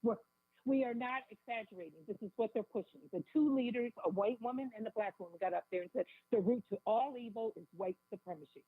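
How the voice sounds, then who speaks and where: A woman calling in to a radio show, raspy from a bad cold, speaking emphatically and indignantly. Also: fairly high pitch, fast talker, no room sound. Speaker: phone-in guest. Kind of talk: live radio call-in advocacy. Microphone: telephone. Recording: tinny, narrow phone line.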